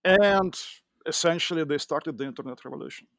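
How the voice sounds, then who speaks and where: A middle-aged man from Russia with a Russian accent, a podcast guest telling his career story with many pauses and fillers. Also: a little high, gravelly, fairly bright tone, dry recording, studio quality. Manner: hesitant, many fillers and restarts. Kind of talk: interview conversation.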